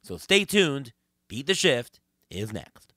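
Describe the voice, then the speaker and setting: A slightly hoarse man hosting a casual sports podcast. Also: mid-range voice, sing-song, takes it slowly. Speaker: podcast host. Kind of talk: casual sports talk with a co-host and guest.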